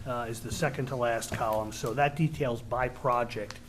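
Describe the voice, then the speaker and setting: A man's voice: mid-range voice, steady tone, moderate pace, a bit bright. A man methodically and precisely explaining a financial report at a public meeting. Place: town board meeting room. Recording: faint noise, public meeting room.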